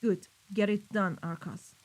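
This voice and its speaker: A woman's voice: medium pitch, steady tone, a little slow, natural voice. A woman playing an exacting, impatient mafia boss in an audio roleplay, giving clipped, authoritative orders. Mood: cold, commanding. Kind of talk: scripted audio roleplay acting.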